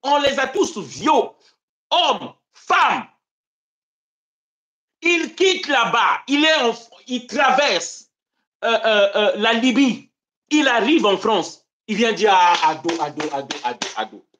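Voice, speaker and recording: A man's voice: big pitch swings, slow. Impassioned Congolese man ranting on a live stream. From Congo-Brazzaville. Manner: impassioned, rhetorical, swings between loud declaiming and low muttered asides. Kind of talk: political commentary on a live stream. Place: home in Europe.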